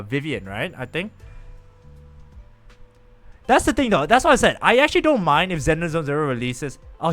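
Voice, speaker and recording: A man's voice: on the high side, fairly bright tone. A man streaming a game, chatting casually and rambling to his viewers. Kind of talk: casual gameplay chat.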